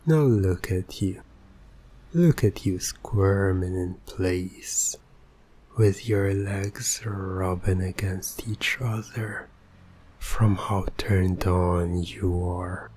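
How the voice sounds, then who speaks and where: A man playing a teasing, domineering hypnotist in an ASMR roleplay, breathy and often near a whisper, with a deliberate, commanding manner. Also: on the low side, mellow, full character voice. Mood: smug teasing. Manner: slow, deliberate, commanding, taunting. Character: teasing, domineering male hypnotist, smug and in control. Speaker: roleplay audio performer.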